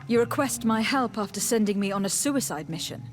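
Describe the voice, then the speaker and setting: A woman's voice: mid-range voice, lively intonation, brisk, lightly acted. A woman playing an assertive, composed noblewoman diplomat in a video game, speaking formally and directly. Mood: guarded, sceptical. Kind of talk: video game dialogue acting. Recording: music behind the voice.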